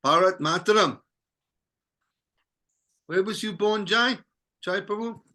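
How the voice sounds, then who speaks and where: Bright-voiced man leading a study group. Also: high voice, slow. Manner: conversational, asks the group questions. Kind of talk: casual scripture discussion.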